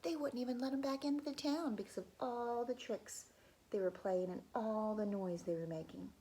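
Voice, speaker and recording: A woman reading a folk tale aloud to children, pausing to ask them questions and dropping into whispers. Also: on the high side, fairly even intonation, warm tone, slight background noise, poor audio. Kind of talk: children's read-aloud storytelling.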